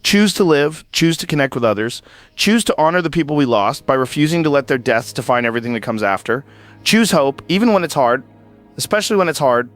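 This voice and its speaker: An actor playing an earnest late-night radio host who speaks in a reflective, measured way. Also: male voice, a little high, lively intonation, no echo, soft background music, natural voice. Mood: hopeful. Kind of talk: audio drama monologue.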